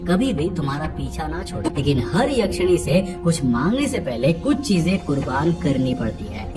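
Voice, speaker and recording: A man hosting and narrating a scripted horror episode, speaking directly to viewers. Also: a little high. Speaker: host, narrator. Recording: distant voice under clear music. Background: clear background music.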